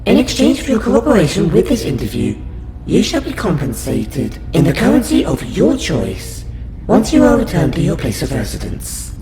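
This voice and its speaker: Guy doing a polite alien researcher for audio roleplay, formal and careful, very lively pitch, roomy echo. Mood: formal, courteous. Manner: formal, precise, courteous, measured. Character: polite, patient male alien scientist abductor interviewing a human. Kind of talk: audio roleplay addressed to the listener. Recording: roomy echo, loud background music bed. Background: music.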